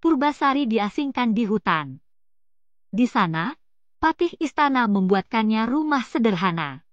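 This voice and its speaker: High-voiced woman telling a folktale. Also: very animated, a little slow, dry recording, dull audio. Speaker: storyteller, narrator. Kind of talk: scripted folktale narration.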